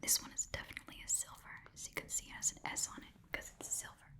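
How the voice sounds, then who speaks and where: A woman's voice: rapid-fire, pushed and bright. A woman playing a small, blunt goblin pawnshop keeper in a casual, muttering ASMR roleplay whisper. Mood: absorbed, muttering. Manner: rambling, casual, muttering, slangy. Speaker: ASMR performer playing a shopkeeper.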